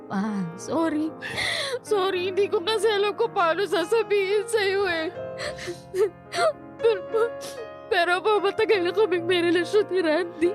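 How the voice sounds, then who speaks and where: A headstrong young Filipina in a radio drama, defending the older man she loves. Her voice is high and highly animated, pleading and stubborn, with music clearly underneath. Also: measured pace. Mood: anxious pleading. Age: young adult.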